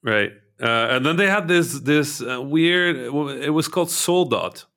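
A man's voice: a little high, animated, unhurried, bright, forceful tone. A man from Egypt hosting a casual interview chat, often hesitating with ums and restarts. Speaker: podcast host.

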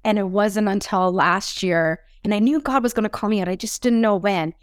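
A woman's voice: medium pitch, normal speed, clean tone, no echo, clean studio sound. A middle-aged woman from Newfoundland, Canada, giving a personal testimony as an interview guest. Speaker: podcast host as interview guest.

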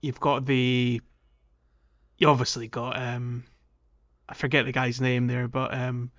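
A man's voice: mid-range voice, completely flat delivery, a little slow, fairly bright tone, dull audio. A man hosting a casual gaming podcast, often searching for his words. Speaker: podcast host.

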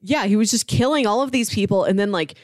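A woman's voice: medium pitch, quick pace, dry recording. Enthusiastic woman podcaster, dry close sound. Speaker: podcast co-host. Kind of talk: casual comic book discussion.

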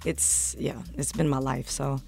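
A woman singer with a deep, rich voice, a guest in a radio studio, talking in a laid-back way with many short affirmations. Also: flat delivery, unhurried, creaky, dark tone, breathy edge, soft background music. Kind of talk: radio interview.

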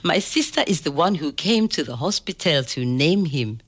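An older woman telling a family story to a child, slow and expressive, pausing to ask gentle questions. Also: deep voice. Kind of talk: oral history storytelling to a child.

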